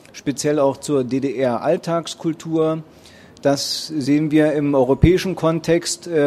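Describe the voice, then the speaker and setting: A man's voice: a little high, mellow. A tired man with a Berlin accent presenting books at a fair, speaking hesitantly with many ums and uhs. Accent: Berlin German. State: tired after a long fair day. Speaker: publisher presenting at a book fair stage. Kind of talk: spontaneous presentation to an audience. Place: book fair hall.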